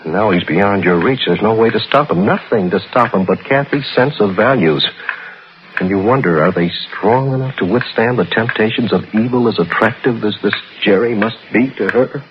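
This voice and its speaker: A dull, noisy 1960s radio drama recording carries a man acting as an anxious middle-aged father. His voice is on the low side, and he broods and frets melodramatically over his child. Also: moderate pace, lightly acted. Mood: brooding, bitter. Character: anxious, overprotective father of a teenage girl.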